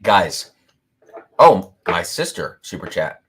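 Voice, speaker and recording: A man hosting a whiskey-tasting livestream, talking loudly and excitedly, laughing often and reading viewer comments aloud into a boom mic. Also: takes it slowly, slightly rough. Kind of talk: casual live-stream chat. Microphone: boom mic moved back from mouth.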